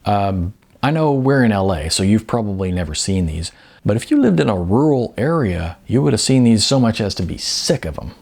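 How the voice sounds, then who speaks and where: A male narrator plays a modest, good-natured guy in his forties from an audiobook. He talks in a folksy, hesitant way with ums and self-deprecating asides. The voice is slightly low and creaky, with lively inflection. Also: moderate pace, exaggerated voice. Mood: bashful, showing off. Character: modest, good-natured balding 40-something male commercial model. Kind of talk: audiobook character dialogue.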